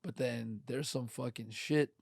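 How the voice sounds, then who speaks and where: A man's voice: medium pitch, a little slow, creaky, no room sound, clean studio sound. A man hosting a podcast, chatting casually with loose, profane, joking banter. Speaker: podcast host. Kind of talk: casual interview chat.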